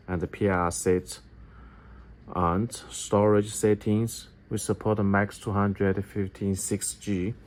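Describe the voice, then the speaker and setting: Low-voiced man, halting. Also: a little mellow. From China. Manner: halting non-native English with repeated phrases. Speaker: product demonstrator for an electronics company. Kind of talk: step-by-step product tutorial.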